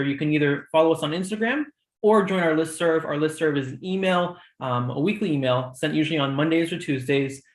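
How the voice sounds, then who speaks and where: A man's voice: fairly high pitch, reverberant. A young man hosting a student shadowing live stream, giving organized reminders with frequent ums. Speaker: host of a student shadowing program.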